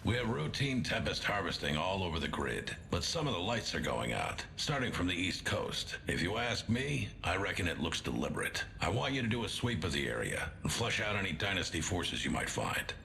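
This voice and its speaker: A gruff video game colonel with a low, gravelly voice. He is blunt, wary and commanding, with forceful delivery over some rumbling noise. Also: exaggerated voice. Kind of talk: scripted video game briefing.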